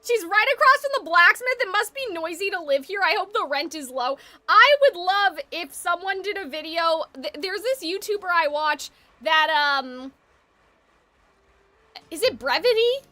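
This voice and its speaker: A woman giving casual live gaming commentary in an animated, rambling, opinionated way. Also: very high-pitched, unhurried, no echo. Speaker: game streamer. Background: game music.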